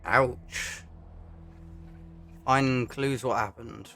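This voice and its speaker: A man giving casual, low-key commentary on a video game as he plays, often muttering to himself. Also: fairly even intonation, extremely slow, a bit bright. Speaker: gaming YouTuber. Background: game music.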